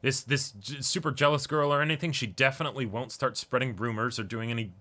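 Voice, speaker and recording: A man's voice: mid-range voice, quick pace, pushed and bright. A man co-hosting a reaction show, chatting casually and emphatically, often repeating words for emphasis. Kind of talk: casual chat about an anime episode.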